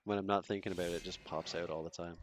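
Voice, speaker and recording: A laid-back streamer with a Toronto Canadian accent, talking in a deep, low voice. He rambles casually between moments of play, and his sentences often drift off unfinished. Faint mouse clicks tick along behind him. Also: fairly even intonation, rapid-fire, slight background noise. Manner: laid-back, chatty, trails off mid-sentence. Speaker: game streamer. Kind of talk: casual chat while gaming, reading chat.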